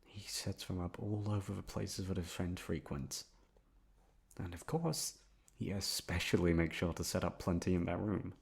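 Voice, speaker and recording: A man playing an obsessive, lovesick young man in an intimate ASMR roleplay, coaxing softly with drawn-out words and emphatic repetition. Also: deep voice, creaky. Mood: creepy, coy. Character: obsessive lovesick young man confessing to his best friend.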